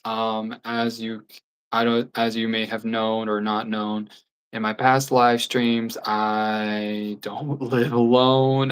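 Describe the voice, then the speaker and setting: A 24-year-old livestreamer talking in a casual, candid and rambling way, with soft laughs here and there. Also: mid-range voice, smooth. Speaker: YouTube livestreamer.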